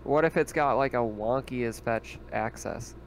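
Medium-pitched man, faintly noisy voice call. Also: moderate pace. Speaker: roleplaying game player. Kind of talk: casual game chat. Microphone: online voice call. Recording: faint background noise.

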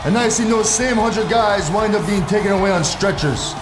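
A man playing a forceful, menacing pro wrestling showman in a video game, declaiming in an echoey space over music. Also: high-pitched, normal speed, a crowd in the background, natural voice. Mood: confrontational. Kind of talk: theatrical wrestling promo. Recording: echoey arena sound with music behind.

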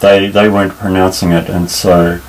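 A man teaching a Bible Hebrew lesson at a table, explaining patiently with many pauses and restarts. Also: low-pitched, level tone, slight background noise, low-quality recording. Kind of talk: unscripted tabletop lesson, explaining while pointing. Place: indoors at a table.

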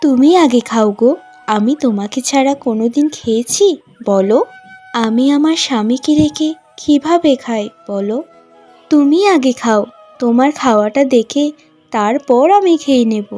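A woman's voice: on the high side, moderate pace, dark tone, close to an everyday voice. A woman playing a patient young village bride in a cartoon, pleading and deferential. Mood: affectionate. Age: young adult. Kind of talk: cartoon voice acting. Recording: music behind the voice.

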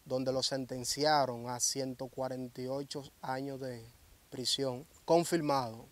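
A young man asking interview questions in a measured, earnest way, outdoors on a handheld mic. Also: medium pitch, fairly even intonation. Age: young adult. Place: outdoors under a tree in a grassy field.

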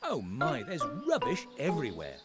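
A man's voice: medium pitch, wildly swooping pitch, moderate pace, over loud music. A man playing a friendly, encouraging narrator of a children's cartoon game. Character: friendly, encouraging adult male storybook narrator.

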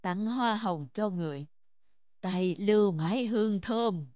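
A man playing a gentle, forgiving older villager who speaks slowly and philosophically in a cartoon. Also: sits high, lo-fi sound. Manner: slow, philosophical, calm. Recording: music under the dialogue.

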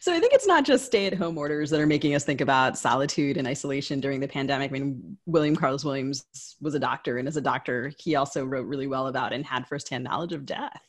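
A woman's voice: rumbling low, expressive, quick pace, hardly any pauses. A woman co-hosting a podcast, moving between conversation and scripted introductions. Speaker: podcast co-host.

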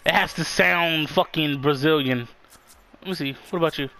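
A young man chatting casually with a friend while playing a game, loud, excitable and swearing often. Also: a little high, moderate pace, creaky. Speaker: gamer streaming his play. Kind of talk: casual gaming chat with a friend.

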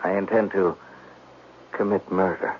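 An actor in a 1970s radio drama playing a cultured, scheming gambler with an articulate, evasive manner. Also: male voice, deep voice, fairly even intonation, a little slow. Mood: coolly calculating. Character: scheming, cultured gambler plotting murder, feigning bewildered innocence. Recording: muffled, noisy vintage radio recording.

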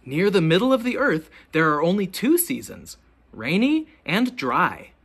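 Teacher doing a kids read-aloud, super swoopy intonation, bit of a husky voice, asks questions real deliberately. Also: medium pitch. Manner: expressive, deliberate questioning delivery for young children. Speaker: teacher reading a picture book aloud. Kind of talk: children's storybook read-aloud.

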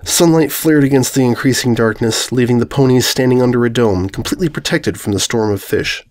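A man's voice: mid-range voice, fairly quick, a little mellow. A man narrating comic fantasy fiction as a scripted dramatic reading.

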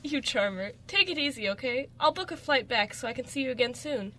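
A woman's voice: high voice, lively intonation, brisk, sharp tone. A woman playing an affectionate, anxious girlfriend, emotive and tender, in an amateur radio drama. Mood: grateful, affectionate. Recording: noticeable background noise.

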